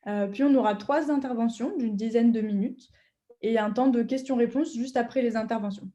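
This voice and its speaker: A woman's voice: on the high side, normal speed, dark tone. A woman hosting an online webinar, explaining the program with frequent hesitations. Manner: hesitant, many fillers. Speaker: webinar host. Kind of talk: webinar moderation.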